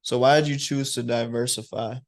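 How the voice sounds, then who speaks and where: A man's voice: medium pitch, gravelly. A young man hosting a student interview show, asking encouraging questions. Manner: slow, even, encouraging questions.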